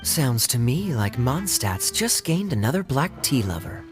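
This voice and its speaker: An actor playing a sly, teasing young knight in a video game dub, smooth and needling. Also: male voice, medium pitch, very animated, a little slow. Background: background game music.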